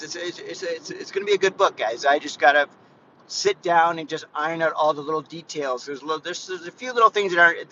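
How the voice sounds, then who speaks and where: Brief: man in his 50s, bright, higher voice, chatty rambling vlogger, dull lo-fi phone audio in a moving car with faint road noise. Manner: rambling, chatty, frequent restarts and "guys". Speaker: fitness vlogger. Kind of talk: casual live vlog while driving.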